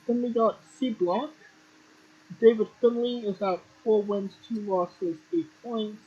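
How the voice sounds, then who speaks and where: Podcast host, a man with a husky voice, plain and matter-of-fact with offhand swearing, muffled home mic. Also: high voice, dark tone. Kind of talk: casual wrestling chat.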